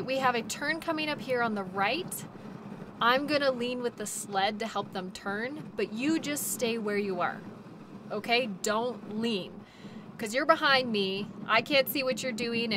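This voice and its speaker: A woman acts out a cheerful female dog-sled guide in an audio roleplay. Her voice sits fairly high and she takes her time, sounding gentle, chatty and reassuring toward her passenger. Clear outdoor sled noise runs behind her. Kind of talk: audio roleplay, naturalistic second-person acting.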